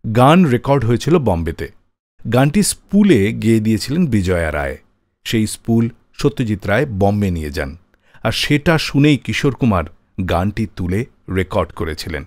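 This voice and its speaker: A man's voice: fairly low pitch, big pitch swings, normal speed, darkish tone, no room sound, clean studio sound. A man presenting a scripted music radio show as its host. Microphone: close studio microphone.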